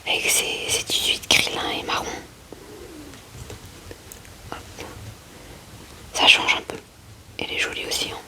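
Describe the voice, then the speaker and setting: A woman whispering ASMR commentary in a breathy, hushed murmur, close to the microphone. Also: measured pace, long pauses. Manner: hushed, murmuring short asides to viewers. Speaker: ASMR creator.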